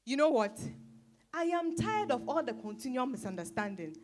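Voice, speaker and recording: A woman's voice: sits high, sing-song, moderate pace. A woman playing an independent-minded, weary professional woman in a dramatized reading. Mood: weary, disappointed. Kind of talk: dramatized stage-style reading.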